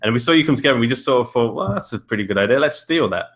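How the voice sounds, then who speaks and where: A man's voice: medium pitch, expressive, very fast, fairly bright tone. A man with a British accent talking casually as an indie author guest on a podcast. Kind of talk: casual interview chat.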